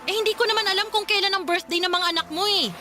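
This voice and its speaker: A woman's voice: extremely high pitch, rapid-fire, noisy background. A woman playing an insistent, hurt girlfriend who demands to come first, in a Filipino radio drama. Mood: exasperated. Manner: emphatic, indignant, argumentative. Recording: music behind the voice.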